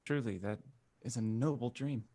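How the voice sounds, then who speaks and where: A man's voice: mid-range voice, normal speed, vocal fry, dark tone, no echo, studio quality. Man reading a solemn pious boy. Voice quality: solemn, grave. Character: earnest pious eleven-year-old boy.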